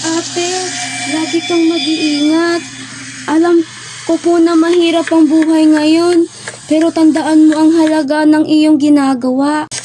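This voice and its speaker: A woman playing a gentle, polite young girl in an amateur radio drama. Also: sits high, little pitch movement, a little slow, slight background noise. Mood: earnest, urging. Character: mysterious gentle young girl child guiding an activist. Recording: music clearly behind the voice.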